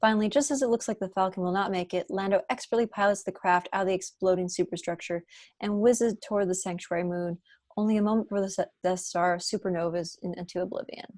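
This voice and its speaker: A woman's voice: medium pitch, steady tone, quick pace, a little mellow, dry recording, studio quality. A woman narrating the stage directions of a screenplay at a table read.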